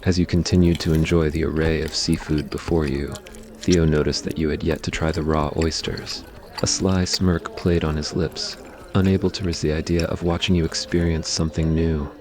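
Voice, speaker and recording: A man's voice: extremely low pitch, flat delivery, moderate pace, darkish tone. A man narrating a scripted romantic ASMR story.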